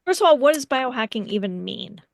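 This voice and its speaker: A woman hosts a casual interview with a curious, informal manner. Her voice sits a little high and moves around a lot in pitch, with noticeable fry in it. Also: sing-song, fairly quick, no room sound, clean studio sound. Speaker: podcast host.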